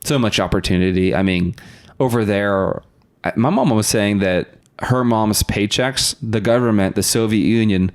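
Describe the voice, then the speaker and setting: Low-voiced man telling casual stories. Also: moderate pace. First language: Russian. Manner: informal, chuckles often while talking. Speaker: podcast guest. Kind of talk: casual storytelling in conversation.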